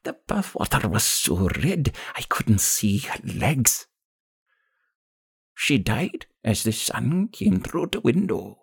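A man voicing a vengeful old woman in an audiobook, gravelly, scornful and menacing. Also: mid-range voice, very animated, slow, pitched above the performer's own voice, exaggerated voice. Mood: bitter grief. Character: vengeful, sinister old woman, bitter and grieving. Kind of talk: audiobook character acting.

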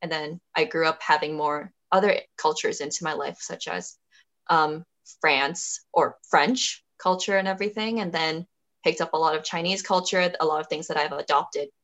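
A woman's voice: low-pitched, fairly even intonation, moderate pace, a bit bright. A young woman from Canada, a podcast guest, speaking earnestly and thoughtfully in a reflective conversation, often restarting her sentences.

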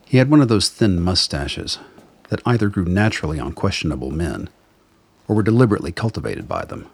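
A man reading scripted dramatic narration as the narrator of a radio play, with a husky voice. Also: deep voice, very animated, brisk.

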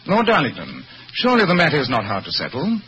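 An actor playing a courteous, probing gentleman detective in an old radio mystery. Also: a little high, wildly swooping pitch, fast talker, dull audio, slight background noise, full character voice.

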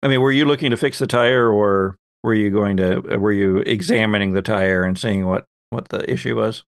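A man acting as game master, improvising narration for a tabletop game and repeating phrases haltingly as he goes. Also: fairly low pitch, no room sound, clean studio sound.

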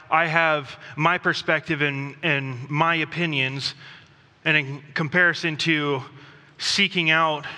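A man who grew up in Thailand, preaching a sermon in a conversational, self-deprecating way with pauses and restarts. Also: fairly high pitch, bright, forceful tone. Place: church sanctuary. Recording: long reverb of a church hall.

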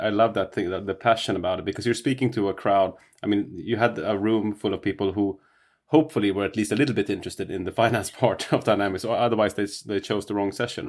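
A man co-hosting a podcast, chatting casually and with enthusiasm, often laughing. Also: fairly low pitch. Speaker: podcast co-host. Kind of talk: casual interview chat.

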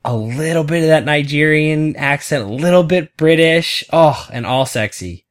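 A woman's voice: low voice, moderate pace. A woman co-hosting a casual podcast, gushing and rambling as she chats. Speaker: podcast co-host. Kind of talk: casual chat.

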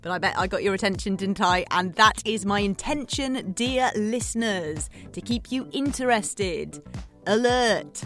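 A woman's voice: medium pitch, animated, unhurried, a bit bright, faint music behind. A woman playing a chatty, needy late-night radio DJ in a scripted drama, playful and theatrical, coaxing her listeners. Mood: cheeky, upbeat. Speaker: radio host.

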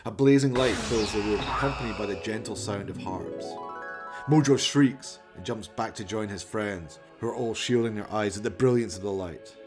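A man reading scripted narration for a radio play in a creaky voice. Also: on the low side, moderate pace, over music.

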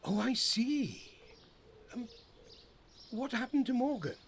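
A man acts out an elderly husband in an audio drama, bumbling and blunt with a joking streak. His pitch swings about in a lively way, over some background noise with birdsong. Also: takes it slowly, exaggerated voice. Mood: rambling, puzzled. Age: older. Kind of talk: audio play acting.

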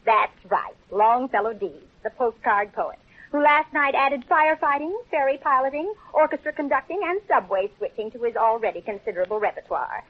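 A woman's voice: fairly high pitch, lively intonation, moderate pace. An actress playing a sardonic, wisecracking woman friend in a 1930s radio comedy-drama, on a muffled old recording. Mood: mock dramatic. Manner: sardonic, flippant. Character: wisecracking, cynical roommate of a newspaperwoman.

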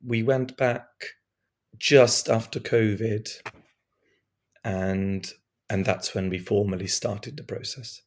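A man from Europe, a guest on a podcast, speaking in a hesitant way with many restarts and fillers, his voice still hoarse from being ill. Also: fairly low pitch, fairly even intonation, slow pace, long pauses, slight background noise. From Eastern Europe. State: hoarse, recovering from lost voice. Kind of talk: casual conversation.

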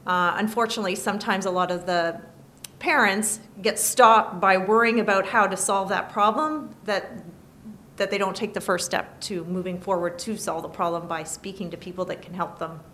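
Measured woman explaining law. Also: medium pitch, steady tone, pushed and bright, slight background noise. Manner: measured, explains by listing points. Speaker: lawyer guest. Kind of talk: interview answers. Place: studio table.

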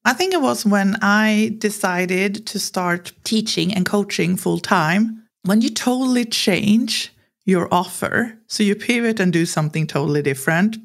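A woman's voice: medium pitch, unhurried, vocal fry. A woman in her forties from Sweden with a Swedish accent, speaking English as a second language as a podcast guest, telling personal stories candidly and acting out what people said. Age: 40s. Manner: animated, acts out quoted lines, laughs while talking. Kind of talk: candid conversational storytelling.

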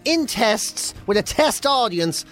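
High nasal Irish man, jokey. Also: sing-song, takes it slowly, fairly bright tone, faint music behind. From Ireland. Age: 40s. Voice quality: nasal, slightly lispy from a mouth ulcer. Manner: jokey, self-mocking. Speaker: radio co-host. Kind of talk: morning radio banter. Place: radio studio. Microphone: studio mic.

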